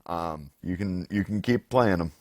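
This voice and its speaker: A man with a hoarse voice, co-hosting a podcast in casual, wordy chat, thinking aloud as he goes. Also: low-pitched, unhurried, no room sound. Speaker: podcast co-host. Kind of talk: casual unscripted chat about game shows.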